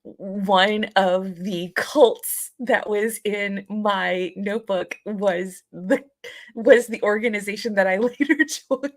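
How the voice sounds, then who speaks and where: A woman's voice: mid-range voice, fairly even intonation, takes it slowly. A woman co-hosting a live podcast, chatting informally and hesitantly as she searches for her words. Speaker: podcast co-host. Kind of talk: casual live podcast chat.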